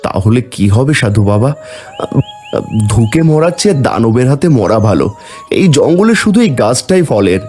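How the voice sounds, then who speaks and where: A man's voice: mid-range voice, very animated, moderate pace, a little mellow, faint music behind, lightly acted. Man playing a weary, poor village husband. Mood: desperate, earnest. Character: poor, humiliated elder brother, weary but determined. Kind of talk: cartoon fairy-tale voice acting.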